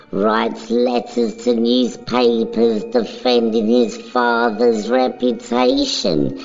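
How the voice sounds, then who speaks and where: A narrator reading a motion comic script in a solemn, measured, dramatic storytelling style. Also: medium pitch, vocal fry. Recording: dull lo-fi sound, music underneath.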